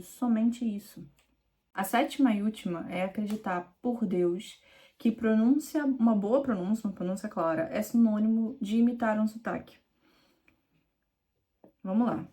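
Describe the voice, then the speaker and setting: A woman's voice: fairly high pitch, mellow. A young adult woman from Rio de Janeiro with a Carioca accent, coaching viewers in a direct, conversational teaching video, emphatic and repetitive. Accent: Carioca Brazilian Portuguese. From Rio de Janeiro, Brazil. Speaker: IELTS speaking mentor.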